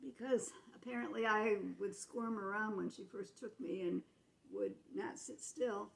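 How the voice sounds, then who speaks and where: A woman's voice: medium pitch, unhurried, gravelly, a little hiss or hum, low-quality recording. An American woman in her eighties telling childhood memories to family in a casual, explanatory, matter-of-fact way. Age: 80s. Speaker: grandmother recalling her childhood.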